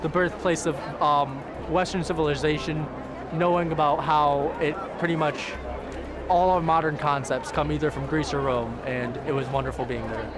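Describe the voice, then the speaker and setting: 18-year-old male student from Ohio, slightly higher voice, plain advice, loud crowd. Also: steady tone, moderate pace. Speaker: student in a study abroad program. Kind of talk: testimonial. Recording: loud crowd noise around him.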